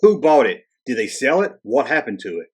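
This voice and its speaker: A man's voice: mid-range voice, sing-song, brisk, husky. A man hosting a toy-collecting video channel, narrating casually with wisecracking, sarcastic asides in a conversational way. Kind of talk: jokey, casual scripted narration.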